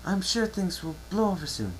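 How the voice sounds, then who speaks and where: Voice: mid-range voice, sing-song, normal speed, slight background noise, pitched above the performer's own voice, lightly acted, poor audio. A performer voicing a composed, amused older-sister pony princess in a cartoon fan-fiction reading. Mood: reassuring.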